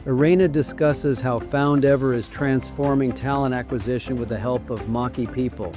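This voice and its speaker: A man's voice: mid-range voice, steady tone, normal speed. A man reading a scripted podcast introduction in a polished announcer delivery. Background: music bed.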